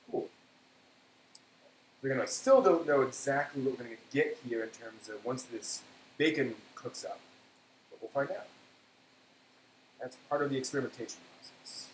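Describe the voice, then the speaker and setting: A man hosting a casual cooking live stream, thinking aloud in a halting way and often trailing off. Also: medium pitch. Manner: halting, self-talking, trailing off. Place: indoor kitchen. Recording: echoey room, background noise.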